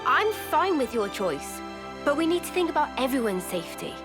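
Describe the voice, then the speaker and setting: A woman's voice: high voice, very animated, normal speed, over music. A woman playing a level-headed, assertive young fighter in a video game, speaking directly. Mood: challenging. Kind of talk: video game cutscene acting.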